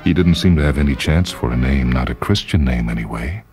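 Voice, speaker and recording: A man's voice: extremely low pitch, animated, a little fast, a little mellow. A man reading a short story as dramatic literary narration. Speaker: story reader. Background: music.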